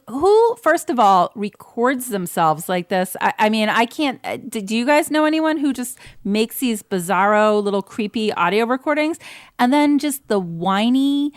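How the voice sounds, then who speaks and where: A woman's voice: fairly high pitch, no echo, studio quality. A woman chatting casually as a podcast panelist, blunt and emphatic, stretching words for stress. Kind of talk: casual opinionated review chat.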